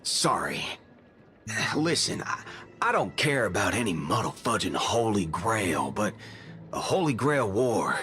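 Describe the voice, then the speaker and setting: A man voicing a cocky, playful cowboy gunslinger in a video game, talking in folksy slang with softened swear words. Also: fairly low pitch, very animated, measured pace, heavily acted. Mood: casual, wry. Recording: game dialogue over music.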